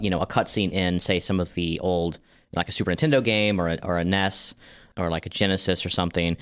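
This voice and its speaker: A man co-hosting a casual gaming podcast, explaining his points conversationally and often rephrasing them. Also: low-pitched. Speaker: podcast host. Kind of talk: casual podcast discussion of video games.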